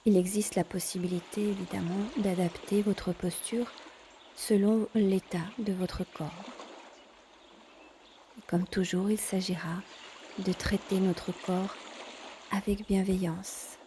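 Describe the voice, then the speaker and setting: A woman teaching yoga in a calm guided instruction style, measured, pausing between short phrases. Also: mid-range voice, a little hiss or hum.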